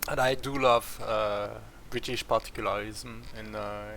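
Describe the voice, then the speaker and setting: A man's voice: lowish voice, fairly even intonation, a little slow, a bit bright, slight background noise. A French man streaming a strategy game, chatting in English with a French accent, rambling and full of hesitations. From France. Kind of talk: casual chat while playing.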